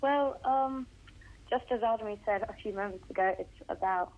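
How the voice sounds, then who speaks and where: A woman's voice: a little high, normal speed. Shy young girl over dull line. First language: Russian. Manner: shy, hesitant, short answers. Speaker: young singer guest. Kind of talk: radio interview answers.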